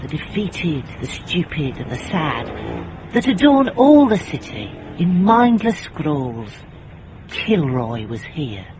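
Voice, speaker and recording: An actress with a British accent playing a brisk, bossy, wry private investigator in a radio drama. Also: female voice, sits low, very animated, a little mellow, a little hiss or hum, heavily acted, rough recording. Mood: brooding. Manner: brisk, commanding, teasing. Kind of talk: radio drama acting with noir monologue.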